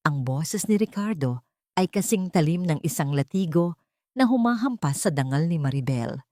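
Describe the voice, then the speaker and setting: A woman's voice: low voice, expressive, normal speed, dark tone, no room sound, clean studio sound. A woman with a Filipino accent giving a dramatic scripted narration of a story. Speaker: narrator of audio drama stories.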